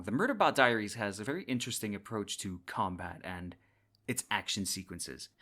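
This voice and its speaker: A man's voice: fairly low pitch, fairly bright tone. A man hosting a podcast, explaining science fiction in a scripted, wry and emphatic way. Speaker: podcast host.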